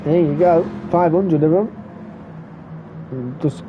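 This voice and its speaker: A man's voice: fairly high pitch, extremely slow. Guy calling a sim race, bunged up from a cold, muffled lo-fi sound with noise behind. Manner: relaxed running commentary with fillers. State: stuffy from a cold. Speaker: race commentator. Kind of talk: sim racing commentary. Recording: muffled lo-fi recording with background noise.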